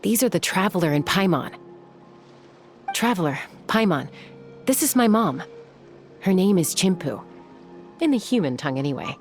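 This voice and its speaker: An actress playing a self-assured young woman in a video game, casual and composed, with a slightly gravelly voice. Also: on the low side, big pitch swings, moderate pace, pauses often, music in the background. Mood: amused, affectionate. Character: self-assured young woman raised among wild birds.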